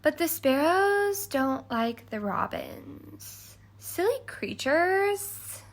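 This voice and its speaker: A woman voicing a dreamy, chatty young girl from a children's storybook, in an airy, drawn-out, sing-song way. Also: high-pitched, sing-song, voice pushed up, full character voice. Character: dreamy, chatty young Cinderella spinning animal tales.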